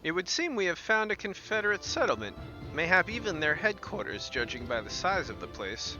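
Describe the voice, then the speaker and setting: A man's voice: sits high, very animated, fairly quick, lo-fi sound, music in the background, voice pushed up. A man voicing an eager, chatty young woman adventurer while reading fantasy game dialogue aloud. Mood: eager, musing.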